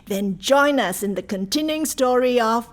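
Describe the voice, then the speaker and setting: A woman's voice: fairly high pitch, animated, moderate pace, background noise, natural voice. A woman playing the amused, teasing narrator of a radio serial. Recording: loud music under voice.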